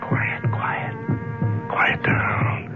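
An actor playing a frightened, bewildered male prisoner in a radio drama, halting and stammering as he repeats himself. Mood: fearful self-soothing. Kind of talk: 1970s radio drama acting. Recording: muffled 1970s radio recording, music behind.

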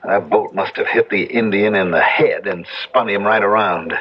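An actor playing a weary, shaken but skeptical young rancher husband in a 1970s radio drama, talking conversationally with dramatic pauses. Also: male voice, lowish voice, breathy edge, muffled recording. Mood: shaken, animated. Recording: band-limited old radio broadcast, music underneath.

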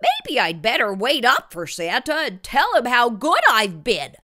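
A woman's voice: fairly high pitch, wildly swooping pitch, unhurried, sharp tone, voice pushed up, full character voice. A woman voicing an eager, self-satisfied young male cat in a children's storybook, earnest and boastful. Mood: hopeful.

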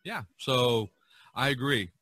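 A man hosting a podcast, talking casually about art in a halting, rambling way. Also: medium pitch, animated, bright, forceful tone. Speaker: podcast host.

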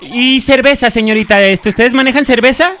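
High, bright-voiced young man bantering. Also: a little fast. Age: young adult. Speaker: comedy show host, prank caller. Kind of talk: casual banter and prank calling. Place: small room studio, indoors. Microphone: handheld microphone.

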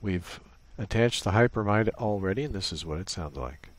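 A man's voice: on the low side, animated, normal speed. A man presenting an unscripted tutorial, explaining a setup casually, step by step. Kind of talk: casual unscripted software walkthrough.

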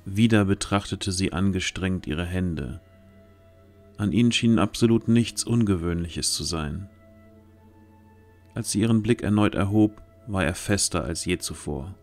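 A man's voice: low voice, measured pace, a little mellow, faint music behind. A man narrating a scripted fantasy story as the narrator of an audio drama.

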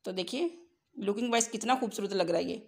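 A woman's voice: mid-range voice, quick pace, darkish tone. A woman narrates a cooking tutorial as a home cook, explaining step by step in an instructional way. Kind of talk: recipe tutorial voice-over.

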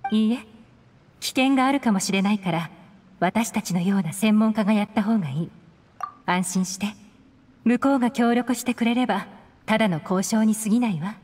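An actress playing a composed, shrewd covert operative in a game, calm and measured with a faint edge of threat. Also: female voice, mid-range voice, close to an everyday voice. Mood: calm, businesslike. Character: cool, composed female covert operative, shrewd and self-assured. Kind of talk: Japanese game voice acting.

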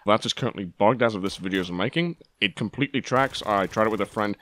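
A man with a fairly low voice hosts a gaming showcase. He talks quickly, running through his explanations in the manner of online video commentary. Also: a bit bright.

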